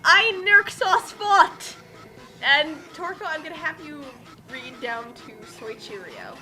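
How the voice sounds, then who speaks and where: A woman's voice: high voice, very animated, slow, over loud music, rough recording. A woman co-hosting a comic dramatic reading of fan fiction, theatrical in delivery, with casual commentary. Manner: exaggerated, theatrical when reading aloud.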